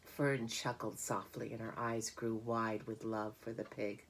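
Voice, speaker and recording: A woman's voice: rumbling low, level tone, unhurried, slight background noise. A woman reading a children's storybook aloud as narrator. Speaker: storybook reader. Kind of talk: children's book read-aloud.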